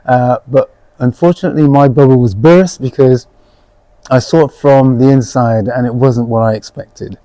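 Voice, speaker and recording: A man sharing memories in an interview, with a mellow, relaxed tone at a middling pitch. He speaks haltingly, circling back over words, and the recording is close and dry. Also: no room sound, poor audio. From born in Dominica, raised in England from age four. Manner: hesitant, halting delivery with repeated words. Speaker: local resident interviewee. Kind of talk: personal reminiscence in an interview.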